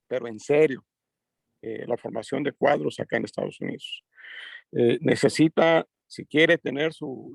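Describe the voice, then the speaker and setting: A man giving spontaneous remarks at a book forum as a migrant community organizer, halting and earnest with many fillers. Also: mid-range voice, creaky, dry recording.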